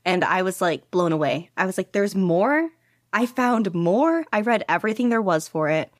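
A woman's voice: medium pitch, animated, normal speed, no room sound, clean studio sound. A young woman guest on a podcast, talking casually and rambling with lots of "like" and small laughs. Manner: rambling, casual, many "like" fillers, giggly. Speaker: podcast guest, fan fiction writer. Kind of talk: casual interview. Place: bedroom at home.

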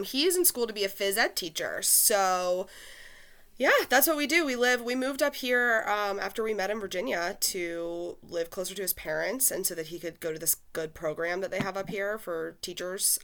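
Bright-voiced chatty woman podcaster. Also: medium pitch. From Pennsylvania. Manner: chatty, laughs often, self-deprecating. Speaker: podcast co-host. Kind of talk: casual podcast chat. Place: her home.

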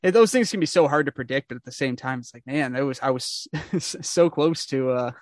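A man's voice: mid-range voice, brisk, no room sound, clean studio sound. A young man hosting a casual interview, chatty and upbeat, rambling with restarts, over a video call. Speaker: podcast host.

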